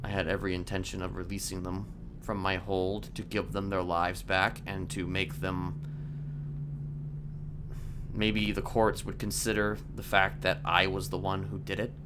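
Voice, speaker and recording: A man in a game roleplay voicing a calm, sinister criminal manipulator who speaks haltingly and deliberately in formal words. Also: low-pitched, noisy background, natural voice. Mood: measured, confessional. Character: soft-spoken sinister male criminal manipulator, calmly confessing. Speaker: roleplay streamer. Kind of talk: improvised in-character game roleplay.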